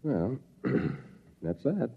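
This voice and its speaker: A resolute, grave professor in a vintage radio play. His voice sits at a middle pitch, earnest and deliberate, on a muffled old recording. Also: big pitch swings, creaky, slight background noise, heavily acted. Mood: weary, grave. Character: grave, resolute male professor, weary husband. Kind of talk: old-time radio drama acting.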